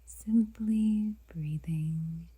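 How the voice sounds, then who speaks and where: A woman guiding a meditation in a soft, breathy whisper, unhurried and gentle, leaving long pauses. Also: lowish voice, no echo, low-quality recording. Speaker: meditation guide.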